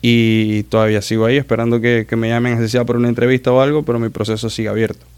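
Smooth-voiced man telling stories. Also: medium pitch, little pitch movement, a little mellow. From Maracaibo, Venezuela. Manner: chatty storyteller, quick self-corrections. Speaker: guest, muralist. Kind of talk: casual interview chat.

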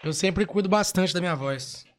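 Man, high-pitched voice, male singer, casual interview chat, relaxed slang. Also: normal speed, slight background noise. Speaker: singer in a duo.

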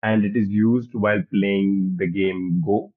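A man's voice: lowish voice, fairly even intonation, measured pace. Man on a tinny phone-like line. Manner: patient hint-giving with frequent laughs. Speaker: co-host, quizmaster. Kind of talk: casual quiz chat.

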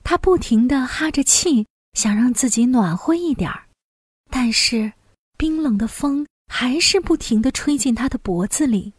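A woman storyteller reading a scripted fairy tale for young children in an expressive manner. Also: sits high, unhurried, slightly rough, no room sound, studio quality.

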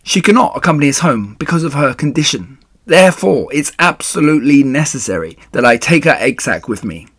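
A man's voice: medium pitch, very animated, normal speed, fairly bright tone, breathy edge, no room sound, clean studio sound. A man voicing a frantic, tearful young male pig in a children's story, loyal and pleading, with urgent, wailing delivery.

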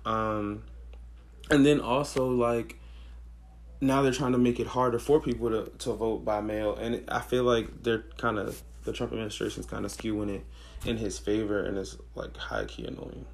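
A hoarse young man with a raspy voice, co-hosting a podcast in slangy casual chat. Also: lowish voice, moderate pace, slight background noise. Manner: relaxed, slangy, thinks aloud with fillers. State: hoarse, losing his voice. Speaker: podcast co-host.

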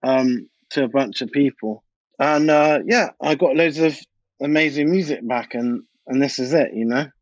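A man's voice: mid-range voice, moderate pace, dry recording, highs cut off. A British man talking casually and anecdotally as a DJ and producer being interviewed, in informal, colloquial speech. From United Kingdom. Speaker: interview guest, DJ and music producer.

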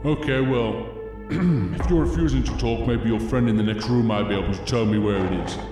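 A man acting a stern, unyielding military brigadier in an audio drama, menacing and commanding, with deliberate authority. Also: fairly low pitch, lightly acted. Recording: very echoey hall, loud music.

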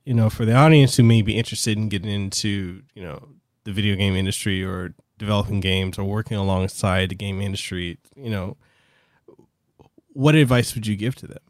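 A man hosting a podcast interview as a games journalist, who talks in an earnest, halting way with many "you know" fillers. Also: on the low side, no room sound, clean studio sound.

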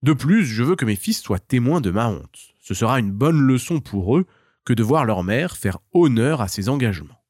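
A man's voice: medium pitch, sing-song, moderate pace, darkish tone, dry recording, clean studio sound. A man voicing a proud, clever mother hen, formal and theatrical, in a children's tale. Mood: feigned contrition.

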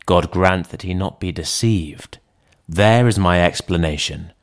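A man reading the part of a sober, rational gentleman lawyer in an audiobook, in a measured, formal manner. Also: sits low. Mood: reasoning, reassuring.

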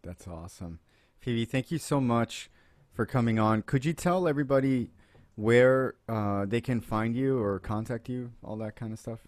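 A man hosting an interview, asking relaxed closing questions. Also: medium pitch, moderate pace, no echo. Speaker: podcast host.

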